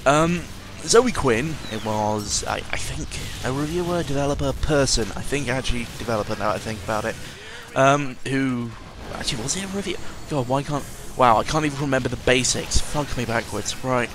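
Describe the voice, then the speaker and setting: A man's voice: mid-range voice, moderate pace, few pauses, noisy background. A man giving a casual, rambling audio-log monologue as host, sardonic and cynical, often interrupting himself and swearing freely.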